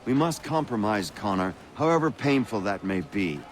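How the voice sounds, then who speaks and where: An actor as an animated, eloquent colonial gentleman. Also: on the low side, normal speed, soft background music, lightly acted. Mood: earnest. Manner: eloquent, oratorical. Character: genial, persuasive colonial gentleman revolutionary in Boston. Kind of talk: video game cutscene acting.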